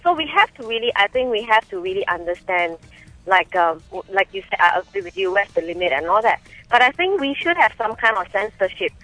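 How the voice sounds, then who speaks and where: A woman from Singapore calls in to a radio show and speaks hesitantly, with plenty of fillers and restarts. Her voice is bright and sharp, heard dry and close with no room sound. Faint music runs behind her. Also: medium pitch, sing-song, brisk, dry recording, low-quality recording. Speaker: caller. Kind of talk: phone-in call.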